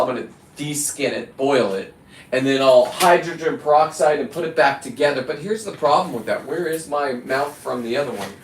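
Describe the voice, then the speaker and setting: Man rambling casually in an echoey room. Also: medium pitch. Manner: halting, digressive, talks to an off-screen Bill and the viewers. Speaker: live stream host. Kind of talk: casual, rambling hands-on livestream chat. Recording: echoey room, distant from the mic.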